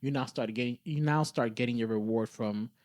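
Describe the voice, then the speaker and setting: A man's voice: mid-range voice, a little fast, darkish tone, dry recording, studio quality. A man co-hosting a podcast, talking in a reflective, conversational way, earnestly explaining and thinking aloud. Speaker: podcast co-host.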